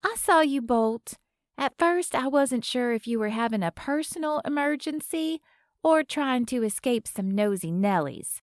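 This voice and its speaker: A woman's voice: high-pitched, expressive, measured pace, dry recording, studio quality, voice pushed up. A woman voicing a kindly, no-nonsense older Southern woman with a Southern accent. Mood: wry. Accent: American Southern.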